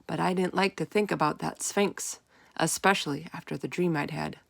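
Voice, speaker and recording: A woman reads a children's chapter book aloud with expressive emphasis. Her voice sits a little low and has a touch of creak, recorded close and dry. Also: moderate pace, dry recording. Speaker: storyteller reading aloud. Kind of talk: expressive children's read-aloud narration in first person.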